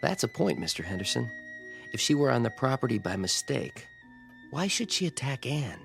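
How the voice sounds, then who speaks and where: Gravelly, sardonic young fiancé. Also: male voice, mid-range voice, very animated, moderate pace, soft background music. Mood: wry. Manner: wry, sardonic. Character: smooth, sarcastic, possessive young banker fiancé. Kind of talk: radio drama acting.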